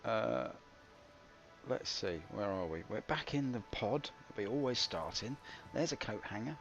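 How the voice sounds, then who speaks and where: Grumbling streamer, gravelly low voice. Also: male voice, animated, a little slow. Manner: grumbling, wry and self-mocking. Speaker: game streamer. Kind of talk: live gameplay commentary. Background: game music.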